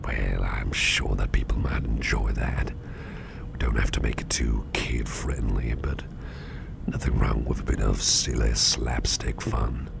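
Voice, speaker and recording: Very deep, gravelly whispering boss played by a man. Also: fairly even intonation, background noise, full character voice. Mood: relaxed, musing. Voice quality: very low, gravelly, breathy near-whisper. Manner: unhurried, soothing, indulgent, musing aloud. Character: attentive, easygoing businessman boss planning a Halloween party. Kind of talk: ASMR personal-attention roleplay, softly spoken and whispery.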